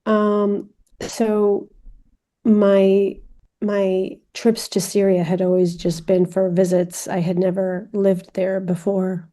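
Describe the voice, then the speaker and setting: Woman, 40s, filmmaker guest; flat, dark, unhurried delivery. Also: mid-range voice. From France. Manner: measured, reflective, frequent ums. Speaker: film director guest. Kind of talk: interview conversation.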